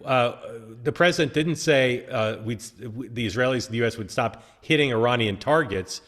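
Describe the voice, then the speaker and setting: A man's voice: medium pitch, moderate pace, a bit bright. A man hosting a political talk show in a casual, easygoing way, leading the talk and putting questions to his co-hosts, with frequent filler sounds. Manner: leads the discussion and poses questions to co-hosts.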